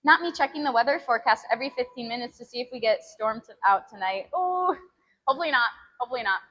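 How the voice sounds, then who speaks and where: A woman chatting casually with live viewers as a fitness stream host, giggly and animated as she talks between sets. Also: a little high, fast, low-quality recording. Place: gym, during a workout.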